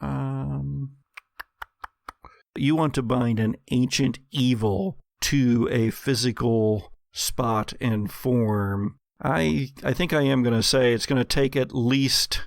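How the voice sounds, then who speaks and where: Slow, gravelly American game master. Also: male voice, medium pitch, no echo, clean studio sound. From United States. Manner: measured, descriptive, with deliberate pauses. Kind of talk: tabletop game narration and rulings for an actual-play podcast. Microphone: close studio microphone.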